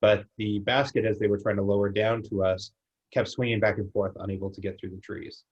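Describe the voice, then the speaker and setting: A young man with a slight New Zealand accent, a company founder talking in a relaxed, plainspoken way as an interview guest on a video call. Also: on the low side, fairly quick, husky, lo-fi sound. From United States. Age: young adult. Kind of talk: casual interview.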